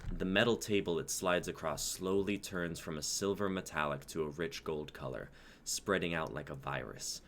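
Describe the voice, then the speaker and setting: A young male narrator with a deep voice. He reads scripted, dramatic scene description, recorded with a little background noise. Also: a bit bright. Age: young adult.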